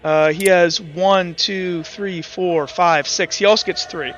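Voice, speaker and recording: A man with a high, bright voice works as game master for a tabletop roleplay session. He talks through rules and action haltingly, correcting himself as he goes, with faint music behind him. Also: fairly even intonation, highs cut off. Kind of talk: improvised tabletop game narration and rules talk.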